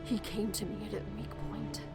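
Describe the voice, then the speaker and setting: A woman's voice: mid-range voice, fairly even intonation, moderate pace, music in the background, natural voice. A woman playing a guilt-ridden, defiant young rich heiress in an audio drama, intense and emotional. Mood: subdued, regretful.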